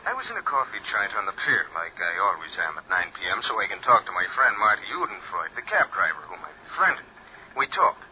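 A man's voice: fairly low pitch, very animated, a little fast. An actor playing a wordy, theatrical, self-pleased harbor policeman in an old radio crime drama. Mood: gleeful, storytelling. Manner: florid, chatty. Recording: muffled hissy old radio recording.

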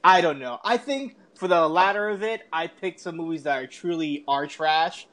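Higher-voiced man in casual podcast chat. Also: normal speed, fairly bright tone. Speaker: podcast co-host.

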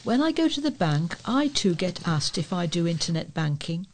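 A woman's voice: on the low side, big pitch swings, normal speed, slight background noise. A woman with a British accent reading letters and articles aloud as a volunteer newsreader. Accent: British English.

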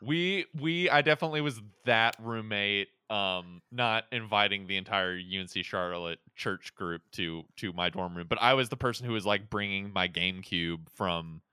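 A man's voice: fairly low pitch, moderate pace, hardly any pauses, pushed and bright, clear, resonant tone, dry recording. A man hosting a podcast in casual chat about movies and games, rambling and often repeating or interrupting himself. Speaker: podcast host.

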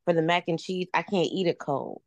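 A woman's voice: fairly low pitch, fairly quick, dry recording. A woman in a casual podcast chat among friends, laid-back and easygoing.

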